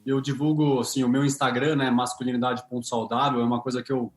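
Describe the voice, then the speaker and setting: A man's voice: medium pitch, level tone, fast, reverberant, faint music behind. A man with a Brazilian Portuguese accent, a podcast guest speaking openly and a little self-deprecatingly about his work. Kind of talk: casual podcast chat.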